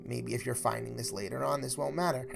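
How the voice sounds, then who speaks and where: A man hosting a story-reading livestream, chatting casually in a halting, think-out-loud way over background noise. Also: medium pitch, over music. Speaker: host of a spooky story reading stream. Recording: clear steady background noise.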